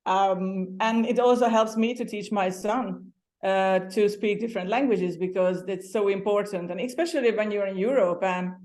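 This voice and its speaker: A woman's voice: medium pitch, normal speed, darkish tone. A woman from Hungary with a Hungarian accent, a guest chatting casually in an interview, laughing often and restarting her sentences now and then.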